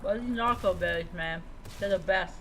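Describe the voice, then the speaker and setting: Game streamer rambling casually to themselves while playing, medium pitch, noisy background. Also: moderate pace. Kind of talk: casual gameplay commentary. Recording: noisy, steady background ambience.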